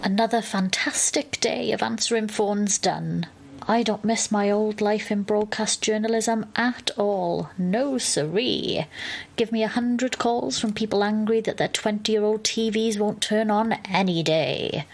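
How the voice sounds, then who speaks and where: A woman's voice: mid-range voice, a little slow, creaky. A woman acting in an audio drama as an exasperated, sarcastic, sceptical young best friend. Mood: weary sarcasm. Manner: dry, sarcastic. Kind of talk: audio drama dialogue and first-person narration.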